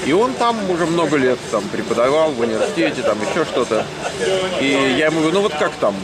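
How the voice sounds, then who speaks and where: A middle-aged man hosting a casual chat, talking quickly and rambling with many fillers. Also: on the high side, very animated, over a noisy crowd, low-quality recording. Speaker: channel host living in the US. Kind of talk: casual conversation.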